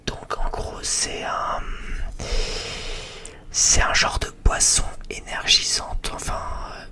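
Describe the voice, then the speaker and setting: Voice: takes it slowly, sharp tone. Whispering ASMR creator, rambling and hesitant. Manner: rambling, hesitant, repeats phrases. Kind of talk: whispered ASMR chit-chat.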